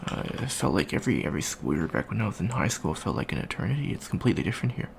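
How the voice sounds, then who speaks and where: Gravelly, awkward young alien student voiced by a man. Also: low-pitched, very animated, racing, a little hiss or hum, full character voice. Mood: awkward, chatty. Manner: hesitant, stammering, awkward small talk. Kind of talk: improvised ASMR roleplay, casual chat.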